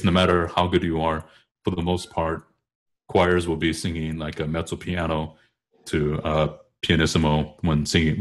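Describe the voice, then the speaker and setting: A man explaining vocal technique casually, often rephrasing himself as he goes. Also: deep voice. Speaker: choral bass singer discussing vocal technique.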